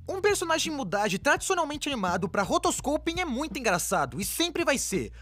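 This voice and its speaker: Brazilian female narrator for comic explainer, high register, animated swings, playful and sarcastic. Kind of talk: scripted comedic narration, dubbed.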